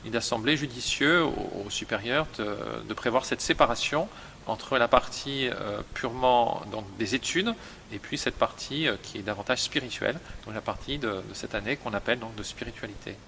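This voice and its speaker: A man who directs a seminary, explaining its history and numbers in a measured, hesitant way with many fillers. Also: mid-range voice, bright, forceful tone, a little hiss or hum. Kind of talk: explanatory interview.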